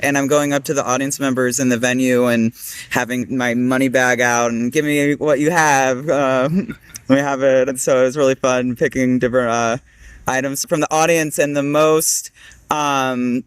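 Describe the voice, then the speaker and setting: A man, an actor, answering questions casually in an online meeting, in a halting, informal way full of "like". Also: mid-range voice, little pitch movement, clear, resonant tone, no room sound. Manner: halting, informal, frequent filler "like". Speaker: actor, guest speaker.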